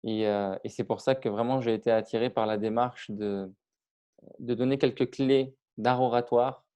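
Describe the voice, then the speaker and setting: Dark-voiced Iranian-born man, hesitant. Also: medium pitch, fairly even intonation, normal speed, clean tone. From Iran. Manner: thoughtful, many hesitations and restarts. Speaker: public speaking trainer. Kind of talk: casual interview on video call.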